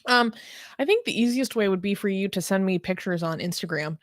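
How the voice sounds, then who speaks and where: A woman's voice: medium pitch, brisk, dry recording, studio quality. A woman co-hosting a live news talk show, chatting casually, reading viewer comments and joking bluntly. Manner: playful, blunt, laughs easily.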